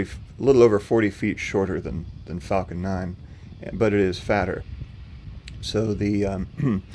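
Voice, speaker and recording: A man with a very low, slightly rough voice hosts a live stream from home. He talks in a calm, level tone full of small fillers. A faint hum of noise sits under his voice. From not from North Carolina. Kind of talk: casual livestream commentary answering chat.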